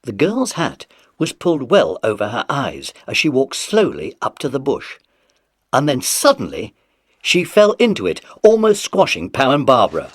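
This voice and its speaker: A male narrator tells a children's adventure story with huge variation in pitch. His mid-range voice is slightly rough and husky, recorded dry. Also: wildly swooping pitch, moderate pace, dry recording.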